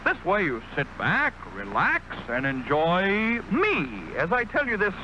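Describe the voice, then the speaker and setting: A man with a high, wildly varied voice plays comic pitchman, spinning a joke for a commercial. The recording carries clear noise, like old broadcast audio. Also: huge pitch swings, a little slow, sharp tone, poor audio. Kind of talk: comedic monologue telling a joke.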